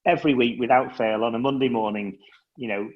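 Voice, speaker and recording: A man's voice: medium pitch, steady tone, fairly quick, lo-fi sound. Man, hesitant podcast chat. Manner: hesitant, many restarts and fillers. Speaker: co-host, startup founder.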